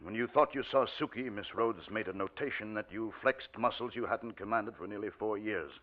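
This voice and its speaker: Casting: man as calm, low-voiced doctor for vintage radio play, reassuring, muffled recording with faint music. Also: a little fast, natural voice. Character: calm, reassuring male doctor at a treatment facility. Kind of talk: 1940s radio drama acting.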